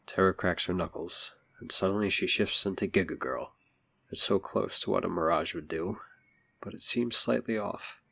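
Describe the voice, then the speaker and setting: A man's voice: deep voice, normal speed, gravelly, sharp tone. A man delivering scripted narration as the narrator of an audio drama.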